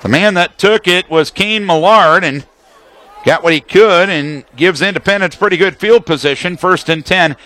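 A man's voice: high-pitched, sharp tone, a faint crowd. A man doing live radio play-by-play of a football game, calling the action in clipped, detailed phrases. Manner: rapid-fire situational detail in clipped phrases.

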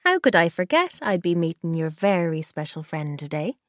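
A woman voicing a brisk grandmother with a hard, catching voice. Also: on the low side, big pitch swings, dry recording, clean studio sound. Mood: warm welcoming.

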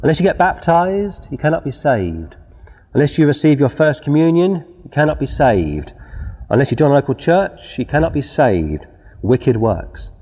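A man preaching outdoors with a dark, mellow voice. He reads scripture and drives his warnings home with emphasis. His words trail off into a long, ringing echo. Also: medium pitch. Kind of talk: outdoor sermon. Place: outdoors before scenery.

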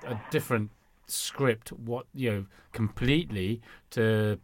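A man's voice: medium pitch, unhurried, slight background noise. A man hosting a radio show in a studio, talking casually with many fillers, whose first language is Cantonese. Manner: relaxed, chatty, many fillers. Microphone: studio mic.

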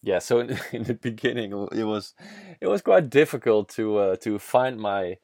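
Young Dutch-accented male host, lower register, candid storytelling, dry booth sound. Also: animated, normal speed, a little mellow, no echo. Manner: candid, swears, frequent restarts and fillers. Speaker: podcast host. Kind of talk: casual storytelling monologue.

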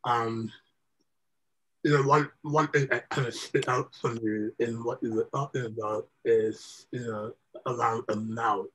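Bright, husky-voiced hesitant interviewer. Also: male voice, mid-range voice, steady tone, a little slow, poor audio. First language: Malayalam. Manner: hesitant, many fillers, thoughtful. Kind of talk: casual conversation.

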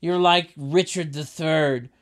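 An indignant sitcom mother played by a man, pitched high and scolding her grown sons. She is emphatic and exasperated in every line, with a broad table-read delivery. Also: fairly even intonation, slow pace.

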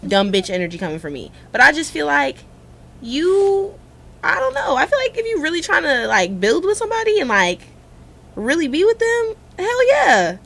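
A young woman from Cleveland co-hosting a talk show, chatting casually with lively, swooping pitch and frank, profane language. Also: normal speed, gravelly. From Cleveland, Ohio. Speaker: co-host. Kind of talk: casual chat.

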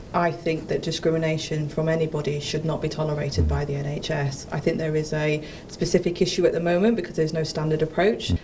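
Measured low-voiced woman on a panel. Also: darkish tone, a little hiss or hum, poor audio. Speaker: public member of a health assembly. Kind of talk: panel discussion.

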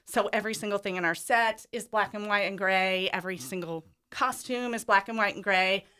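Bright-voiced woman explaining in an interview. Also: mid-range voice, a little fast, smooth. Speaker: interview guest, stage director.

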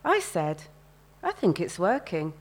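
A woman's voice: fairly low pitch, big pitch swings, measured pace. A woman voicing a love-struck, nervous sixteen-year-old London boy in a colloquial, inward, eager way for a novel reading.

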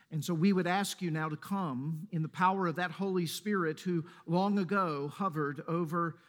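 A man with a Mississippi accent, a pastor leading a prayer and then preaching a sermon in a measured, earnest way. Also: fairly high pitch. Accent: Mississippi Southern. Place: church sanctuary.

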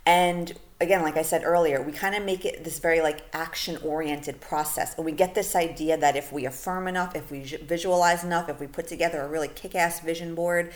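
A woman with a low voice hosts her own podcast alone. She talks in a loose, chatty way with plenty of fillers. Her voice is going a little hoarse as she is losing it. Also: steady tone, fairly quick, hardly any pauses. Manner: casual, chatty, lots of fillers like "you know" and "like". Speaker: podcast host. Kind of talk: solo podcast monologue.

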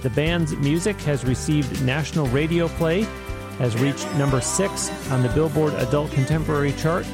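A man's voice: fairly high pitch, moderate pace, over music. A man hosting a podcast interview in an articulate, orderly way, with prepared introductions and questions. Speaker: podcast host.

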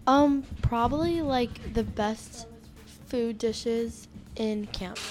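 A woman's voice: on the high side, very slow, music in the background. A girl camper from New Jersey chatting casually, hesitant and full of "like" and "um". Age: child. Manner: hesitant, lots of "like" and "um". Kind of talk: casual chat.

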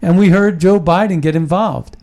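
A man's voice: high-pitched, moderate pace, darkish tone. A man hosting a casual political talk show, rambling and emphatic, often restarting his sentences.

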